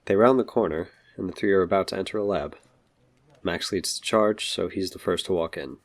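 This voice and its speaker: A man's voice: low-pitched, a little fast. A man narrating a scripted superhero audio drama. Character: narrator of a superhero adventure story.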